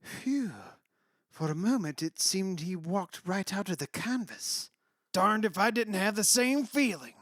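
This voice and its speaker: Man acting a brash, bright-voiced wealthy father. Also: high-pitched, expressive, unhurried, dry recording, studio quality. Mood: curious, taken aback. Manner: blunt, impatient, demanding. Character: brash wealthy father who bought an old mansion. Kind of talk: radio play acting.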